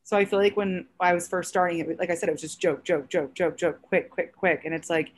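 Comedian guest, woman about thirty, raspy and a touch low, loose animated chat. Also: fairly quick, slight background noise. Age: around 30. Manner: chatty, animated storytelling with many fillers. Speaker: stand-up comedian, podcast guest. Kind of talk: casual podcast chat.

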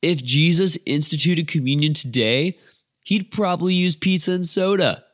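A man's voice: fairly high pitch, normal speed, voice pushed up, close to an everyday voice. A man doing a laid-back young dude. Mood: casual.

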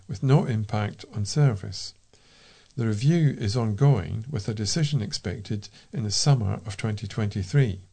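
A man reading local news aloud as a volunteer newsreader, in a measured, formal way. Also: medium pitch, warm tone.

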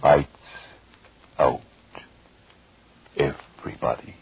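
An actor playing an ominous horror narrator intoning a 1930s radio show opening. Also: male voice, extremely low pitch, steady tone, drawn-out words, vocal fry, echoey room, full character voice. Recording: muffled old radio, loud music behind.

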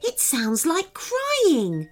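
A woman voicing Socks, a timid, cautious stuffed toy from a children's storybook, speaking in a small, worried, soft voice. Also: very high voice, wildly swooping pitch, very slow, soft background music, voice pushed up, exaggerated voice.

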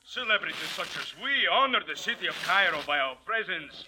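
An actor playing a bombastic, easily outraged count in grandiose broken English, in a 1940s radio drama. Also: male voice, sits high, sing-song, brisk. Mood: indignant. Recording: noisy vintage radio recording.

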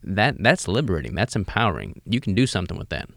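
A very deep-voiced American man hosts a podcast, coaching with earnest, motivational emphasis. His voice sits close to the mic in a dry, echo-free recording. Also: big pitch swings, fast, fairly bright tone. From United States. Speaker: podcast host and fitness coach. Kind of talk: casual interview chat, motivational.

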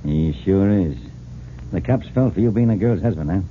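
An actor playing a cold, menacing criminal in an old-time radio drama, with a curt, tough delivery. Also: male voice, low-pitched, lively intonation, normal speed. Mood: businesslike. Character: cold, menacing criminal boss, adult man. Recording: noisy narrow-band vintage radio recording.

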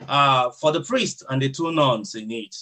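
A man's voice: mid-range voice, bright, forceful tone. Reflective Nigerian-accented man. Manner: reflective, measured, frequent "uh" fillers. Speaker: hospital chaplain. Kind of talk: interview answers.

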